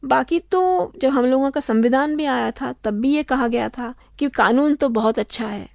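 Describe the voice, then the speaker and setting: Measured woman explaining law. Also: high voice, gravelly, darkish tone, dry recording, studio quality. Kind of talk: radio interview.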